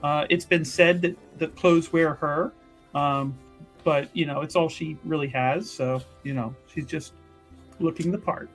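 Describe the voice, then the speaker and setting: A man playing a tabletop roleplaying game, improvising his character's actions in a halting, wordy way. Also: a little high, fairly even intonation, a bit bright, soft background music.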